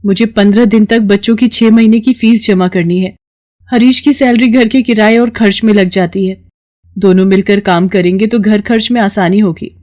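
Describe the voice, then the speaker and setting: A woman's voice: medium pitch, steady tone, moderate pace, warm tone, dry recording, lightly acted. Woman as an earnest young working wife. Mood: hopeful, earnest. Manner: pleading, earnest. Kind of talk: cartoon story acting.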